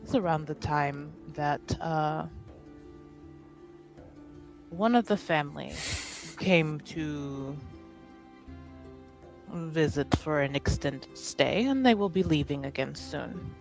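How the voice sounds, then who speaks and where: Woman playing a slow, measured, composed noblewoman. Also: low voice, gravelly, soft background music, full character voice. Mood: calm scheming. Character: composed noblewoman giving orders and discreet offers.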